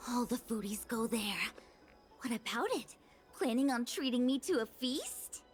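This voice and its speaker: A woman's voice: fairly high pitch, animated, unhurried. An actress playing a breezy, chatty young woman slacker in a video game. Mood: teasing, curious. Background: game music.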